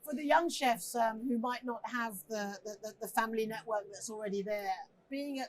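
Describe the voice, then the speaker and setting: Female interviewer, slightly high voice, conversational and effusive, echoes her own phrases for emphasis. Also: moderate pace. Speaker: interviewer, host.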